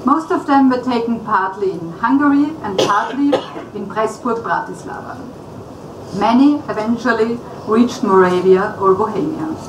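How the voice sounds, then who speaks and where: Brief: a woman lecturer presenting research in measured, formal speech; roomy hall sound with clear background noise. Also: fairly high pitch. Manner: measured and formal, with occasional restarts. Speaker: historian giving a lecture. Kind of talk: reading a prepared academic paper aloud. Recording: echoey hall, distant mic, audible room noise.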